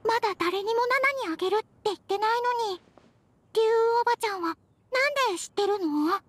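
A woman's voice: very high-pitched, fast, no echo. A woman playing a sweet, earnest little girl in an anime game, with a cute, eager, childlike manner. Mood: amazed, grateful. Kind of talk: Japanese anime game acting.

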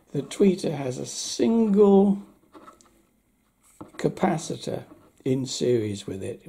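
A man in his seventies giving a casual, unscripted review with wry understatement, in a husky voice. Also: a little high, warm tone. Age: 70s. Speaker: audio equipment reviewer. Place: indoor room at home.

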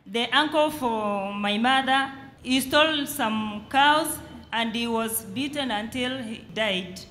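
A woman's voice: on the high side, slow pace, a bit bright. A young woman with a Kenyan accent, speaking as a congregant giving plain personal testimony. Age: young adult. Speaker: congregant asking a question. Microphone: handheld mic held to her mouth. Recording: echoey hall, background noise.

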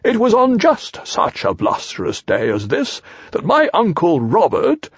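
A man voicing a solemn, long-winded male owl in a storybook, with pompous, formal, drawn-out speech. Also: sits high, huge pitch swings, breathy edge, dry recording, muffled recording, pitched above the performer's own voice, exaggerated voice.

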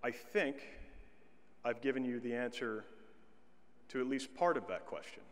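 A middle-aged man delivering a commencement address at a podium, speaking in a measured way with slow, deliberate emphasis. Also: mid-range voice. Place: large indoor arena stage at a podium. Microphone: podium microphone. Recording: long arena reverb.

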